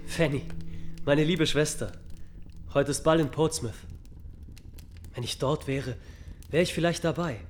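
An actor playing a lively, affectionate young brother in a German radio play, eager and playful. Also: male voice, on the high side, unhurried, pauses often, soft background music, close to an everyday voice. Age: young adult.

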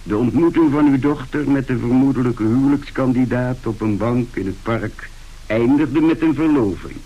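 An actor playing a pompous, mock-formal, self-assured private detective with a husky voice in a radio play. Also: male voice, medium pitch, normal speed, highs cut off, natural voice. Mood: dry, unruffled.

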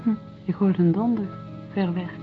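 A woman's voice: medium pitch, steady tone, moderate pace, close to an everyday voice. A woman playing a watchful, sceptical character in a radio drama, speaking in a probing, direct way. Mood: uneasy. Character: watchful, sceptical woman confronting a sick doctor. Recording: dull radio recording, faint music behind.

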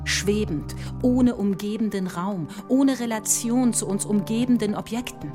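A woman's voice: mid-range voice, close to an everyday voice. Woman playing a dreamy, musing philosophical mathematician over music. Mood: musing, longing. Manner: measured, musing, lyrical. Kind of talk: poetic radio drama monologue. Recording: prominent music behind the voice.

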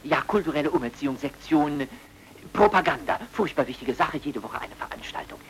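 An actor playing a fussy, garrulous, self-important cultural official in a German radio crime play, busy and eagerly hospitable. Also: male voice, on the high side, animated, brisk, slight background noise. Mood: pompous, eager. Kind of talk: mid-century German radio comedy-thriller acting.

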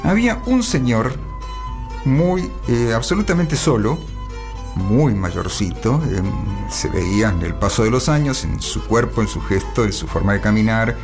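Man, Argentine accent, radio host, medium pitch, chatty, digressive, halting delivery, faint music underneath. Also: lively intonation. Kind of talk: casual radio monologue, introducing songs.

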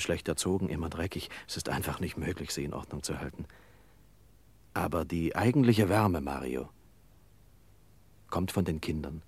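An earnest shopkeeper in a radio drama. His deep voice is insistent and formal, recorded very dry and close. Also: husky, dry recording. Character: earnest, indignant small haberdashery shopkeeper and family man. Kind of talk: German radio play acting.

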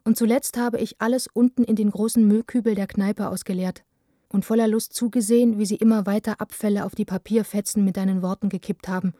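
A woman's voice: mid-range voice, steady tone, brisk, warm tone, no echo, studio quality, close to an everyday voice. An actress playing a passionate, wounded young woman who reads her candid letters in a radio play, intimate and plainspoken. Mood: hurt, defiant.

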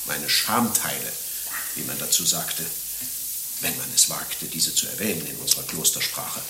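A man's voice: extremely low pitch, animated, normal speed, roomy sound, noisy background. An actor playing a lovesick, naive middle-aged former monastic in a German radio play, declaiming his confessions with rhapsodic anguish. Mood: wistful, ashamed.

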